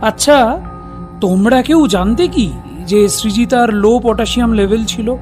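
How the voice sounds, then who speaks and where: An actor playing an older retired detective in an audio drama, polite and inquisitive. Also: male voice, very high voice, unhurried, a little mellow, soft background music. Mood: probing. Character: courteous, probing older retired detective, a father.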